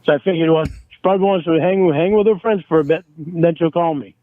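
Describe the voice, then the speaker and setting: Brief: man on a tinny dry phone line, high voice, angry and cursing. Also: quick pace, no echo. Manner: angry, pleading, swears a lot. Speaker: caller on a recorded phone call. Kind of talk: heated phone argument.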